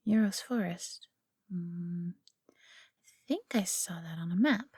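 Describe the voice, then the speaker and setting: Woman acting a young female fox companion, breathy, close and dry, expressive, chatty, eager, fantasy audio roleplay. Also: fairly low pitch, slow, no echo, full character voice. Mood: thoughtful.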